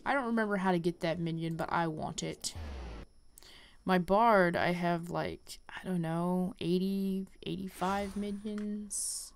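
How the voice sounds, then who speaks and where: A woman giving casual game playthrough commentary, rambling and thinking out loud as she goes. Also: on the low side, unhurried, a little hiss or hum. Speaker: gaming video host.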